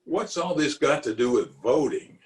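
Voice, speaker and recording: A man's voice: fairly high pitch, lively intonation, normal speed, a little mellow, echoey room, lightly acted. A man playing a pompous, easily exasperated middle-aged official in an old-time radio comedy, recorded at home over a video call. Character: blustery, exasperated middle-aged male water commissioner, pompous and opinionated.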